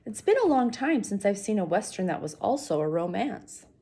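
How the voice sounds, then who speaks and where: Female teacher type for a children's read-aloud. Slightly raspy voice, very varied intonation, talks straight to the kids. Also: mid-range voice, very animated, normal speed, dark tone. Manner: addresses young listeners directly. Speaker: teacher reading aloud to students.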